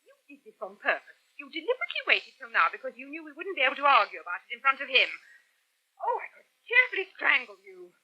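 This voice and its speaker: A brisk English woman in an old radio soap, sarcastic and bossy. Her voice is bright and fairly high, and it swings widely in pitch as she speaks. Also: huge pitch swings, heavily acted, rough recording. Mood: indignant. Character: brisk, sharp-tongued English woman scolding her man. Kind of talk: 1970s radio soap acting.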